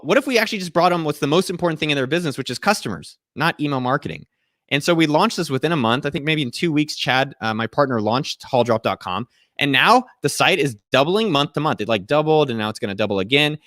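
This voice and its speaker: A man in his thirties hosting a casual live Q&A from a small room, giving a profane, energetic pep talk to his viewers. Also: fairly high pitch, no echo, clean studio sound. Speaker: host answering viewer questions. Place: small indoor room at home.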